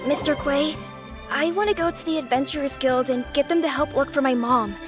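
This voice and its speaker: A woman's voice: high voice, a little fast, music in the background, natural voice. A woman voicing a polite, earnest, anxious young boy in a video game. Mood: earnest, worried.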